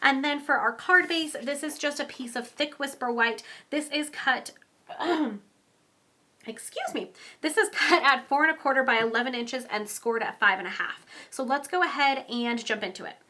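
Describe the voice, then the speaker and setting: A woman's voice: high voice, normal speed, bright, forceful tone. A young woman with a stuffy, congested voice, chatting through a casual card-making tutorial, rambling with frequent ums and small laughs. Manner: giggly, laughs often. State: congested from a cold.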